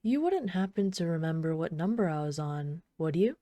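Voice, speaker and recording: Woman acting a mellow, low-voiced obsessive villainess. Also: big pitch swings, no echo. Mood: nonchalant counting. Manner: soft, unhurried, teasing, casually menacing. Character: obsessive yandere villain, flirtatious bomber calmly toying with trapped hero. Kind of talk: ASMR roleplay, intimate scripted monologue.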